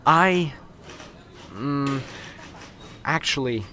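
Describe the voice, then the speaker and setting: Man doing a jittery professor, stammering, hesitant, very lively pitch, faint hiss behind. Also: drawn-out words, heavily acted. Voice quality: stammering, hesitant, fumbling for words. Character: nervous, unsure male college professor. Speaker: professor bringing a lead. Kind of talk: amateur radio drama acting.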